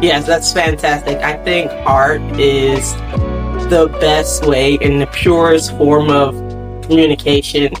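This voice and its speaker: A man's voice: fairly high pitch, flat delivery, takes it slowly, music in the background. A man hosting a podcast in casual chat, easygoing and agreeable, laughing often. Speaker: podcast host.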